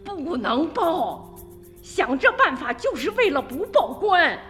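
A stubborn, anguished old village woman with a very high voice, speaking slowly and emphatically in a radio drama. She pours out her feelings for her son, with faint music behind her. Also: big pitch swings, fairly bright tone, long reverb tail, heavily acted. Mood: firm, scheming. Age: older. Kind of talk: Chinese radio drama acting.

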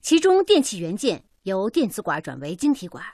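An actress playing a matter-of-fact engineer in a radio drama, reporting technical difficulties precisely. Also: female voice, medium pitch, wildly swooping pitch, moderate pace, a little mellow, husky, dry recording, clean studio sound, full character voice.

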